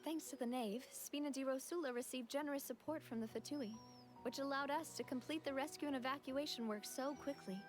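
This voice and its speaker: A woman acting a gracious, gentle young female leader in a video game, grieving yet composed, over music. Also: sits high, natural voice. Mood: composed, polite. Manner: gentle, measured, sincere. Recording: music behind the voice.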